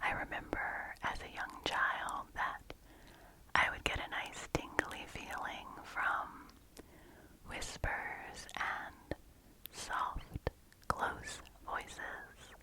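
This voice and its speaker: A woman hosting an ASMR channel, rambling in a deep, low, soft-spoken voice that keeps dropping into whispers, close to the microphone. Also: drawn-out words, poor audio. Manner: alternates soft low speaking with breathy whispers.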